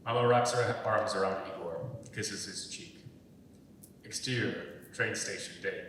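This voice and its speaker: Young male stage-direction narrator, lowish voice, long hall reverb, scripted delivery. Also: measured pace, a bit bright. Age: young adult. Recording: very echoey hall, distant mic, faint rumbling noise.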